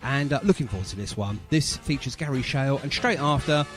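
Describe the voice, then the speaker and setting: Man, radio presenter. Animated intonation, chatty and gushing, jokey, faint music bed underneath. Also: medium pitch, brisk. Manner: effusive, repeats praise, jokey banter. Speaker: radio host. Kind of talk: casual chatty radio interview.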